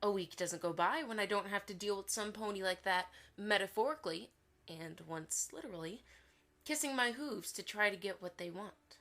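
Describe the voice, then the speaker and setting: A woman's voice: medium pitch, moderate pace, a bit bright, natural voice. A woman playing a shrewd, haughty pony publisher in an audio drama, speaking in a pointed, reproving way. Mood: stern, disappointed.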